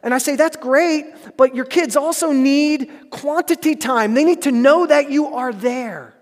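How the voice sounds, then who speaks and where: A man's voice: extremely high pitch, unhurried. A man preaching a sermon as pastor, earnest and emphatic, repeating phrases for stress. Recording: preached in a hall with long reverb.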